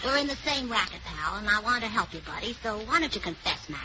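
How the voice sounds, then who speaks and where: A woman's voice: medium pitch, animated, quick pace. A woman playing a scatterbrained wife in a 1940s radio comedy. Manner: scatterbrained, ditzy. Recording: dull lo-fi old radio recording with clear hiss.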